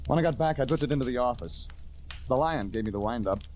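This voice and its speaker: An actor playing a tough, cynical private investigator in a 1940s radio drama, clipped and deadpan. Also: male voice, medium pitch, fast, natural voice. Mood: wry, wound down. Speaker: detective and first-person narrator. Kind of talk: 1940s radio acting, hardboiled detective drama. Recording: noisy old radio recording.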